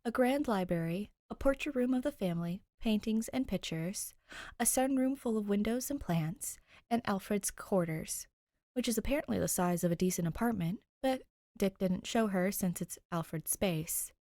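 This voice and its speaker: Woman, mid-pitched voice, informal and bubbly, expressive story reader, very dry sound. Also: moderate pace, no room sound. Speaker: host and reader of a fan fiction podcast. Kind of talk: casual intro chat and expressive fiction narration.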